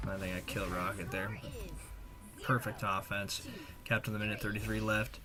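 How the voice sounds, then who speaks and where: A man's voice: on the low side, flat delivery, moderate pace. A young man giving casual, slangy voice-over commentary on gameplay in a loose play-by-play way. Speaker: gaming video creator. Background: game music and effects.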